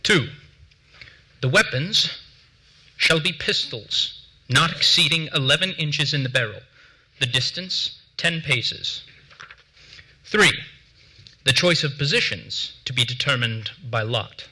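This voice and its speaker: An actor playing a formal, grave early American duel second, reading a period account on stage with deliberate, declamatory diction. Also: male voice, medium pitch, animated, bright, forceful tone, husky, exaggerated voice, low-quality recording. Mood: stern, formal. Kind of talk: theatrical dramatic reading of period documents. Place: theatre stage before a seated audience.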